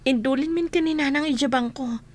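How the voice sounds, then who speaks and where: A woman's voice: high voice, a little fast, lightly acted. A young woman playing a cautious, sensible younger sister in a radio drama, reasoning firmly. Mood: reluctant. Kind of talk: Ilocano radio drama acting.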